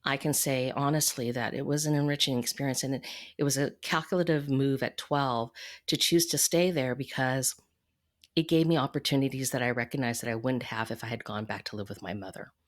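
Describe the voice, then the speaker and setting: Low-key woman telling her story candidly. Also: fairly even intonation. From United States. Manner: candid, measured, thoughtful. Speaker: podcast guest, financial coach. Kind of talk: reflective personal storytelling in an interview.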